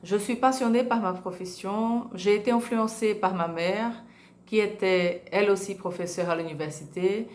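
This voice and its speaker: A woman's voice: medium pitch, normal speed, a little mellow. A Brazilian woman university professor introducing herself in French with a Brazilian accent, passionate, with small hesitations. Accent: Brazilian Portuguese. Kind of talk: self-introduction.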